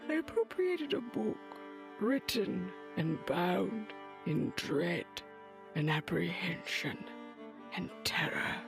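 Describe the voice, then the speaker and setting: A woman's voice: on the high side, gravelly, heavily acted. An actress playing a weary, dread-laden mystic witch in an audio drama, speaking in an ominous, theatrical way. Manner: slow, ominous, theatrical storytelling.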